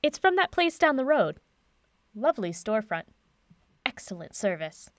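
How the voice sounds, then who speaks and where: An actress playing a confident, brisk woman professor with a dry wit in a naturalistic audio drama. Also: fairly high pitch, big pitch swings, no echo. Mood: wry, teasing. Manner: brisk, wry, decisive.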